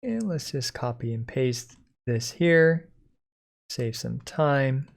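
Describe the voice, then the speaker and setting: Guy doing a coding walkthrough, creaky voice, informal, kinda thinking out loud while he types. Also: medium pitch, expressive, slow. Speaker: software tutorial instructor. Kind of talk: casual code-along screencast narration.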